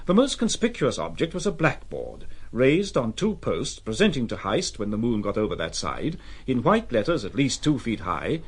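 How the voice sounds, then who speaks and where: A man narrating an audio drama in a measured, deliberate and dramatic literary storytelling style. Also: mid-range voice, wildly swooping pitch, husky.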